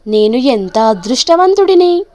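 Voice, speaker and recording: A woman's voice: sits high, very animated, a little slow, warm tone. A woman voicing a kind, honest monkey in a children's storybook, speaking simply and earnestly. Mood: delighted.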